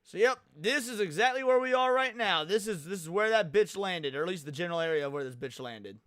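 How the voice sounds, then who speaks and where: A young American man giving casual livestream commentary, with slangy, profane, excitable talk. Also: high voice, a little fast, dry recording, clean studio sound. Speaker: livestream host reacting to a video.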